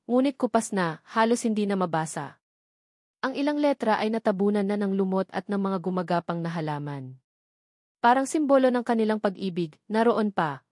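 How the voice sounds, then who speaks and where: A woman's voice: mid-range voice, a little mellow, dry recording. Woman narrating a dramatic story, dry sound. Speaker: audiobook narrator.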